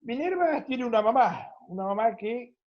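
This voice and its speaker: A middle-aged man with an Argentine Spanish accent and a husky voice, talking conversationally as an interviewer. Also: extremely high pitch, animated, normal speed. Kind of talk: conversational radio-style interview. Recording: dull lo-fi audio.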